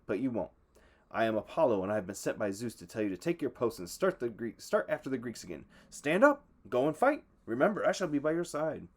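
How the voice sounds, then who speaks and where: A man's voice: medium pitch, big pitch swings, brisk. A man voicing a commanding male god who urges a fallen hero to stand. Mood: urging.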